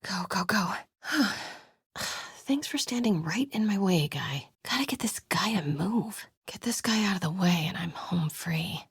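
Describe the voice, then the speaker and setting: Brave young reporter gal from a video game, super breathy hushed voice, close and dry. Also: female voice, lowish voice, no room sound, full character voice. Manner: hushed, often whispering to herself. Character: determined young woman reporter, brave and sharp-witted.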